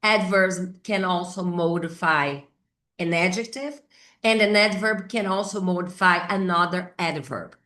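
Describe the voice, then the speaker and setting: A middle-aged Brazilian woman, a native Portuguese speaker, teaches English grammar informally with a Brazilian accent, thinking aloud haltingly and repeating key words. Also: fairly low pitch, bright, forceful tone. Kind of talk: informal whiteboard grammar lesson.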